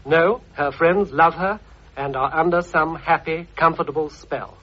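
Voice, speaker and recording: Husky man, fairly high voice. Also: a little slow. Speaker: programme writer and presenter. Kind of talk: scripted radio essay. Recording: muffled vintage radio recording.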